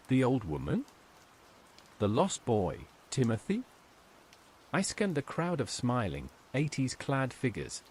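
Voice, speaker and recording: A man reads a scripted horror tale as its narrator. His delivery is measured and dramatic, with a medium-pitched voice that moves widely up and down. Soft rain runs behind him. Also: slight background noise.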